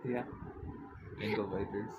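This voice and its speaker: A man's voice: fairly low pitch, fairly even intonation, a little slow, dull audio. A man speaking in short, teasing remarks in casual chat. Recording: very noisy livestream room mic.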